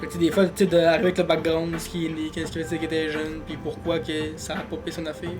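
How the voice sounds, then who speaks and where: A man with a Québécois accent co-hosting a podcast, rambling casually, laughing often and trailing off quietly. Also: fairly high pitch, completely flat delivery, fast talker, over music. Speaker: podcast co-host. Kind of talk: casual chat.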